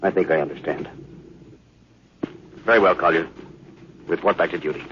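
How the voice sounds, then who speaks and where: An actor playing a steady, fatherly veteran spaceship captain in a 1950s radio drama style. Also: sits low, normal speed, natural voice. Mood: firm, understanding. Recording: dull, very noisy old radio recording.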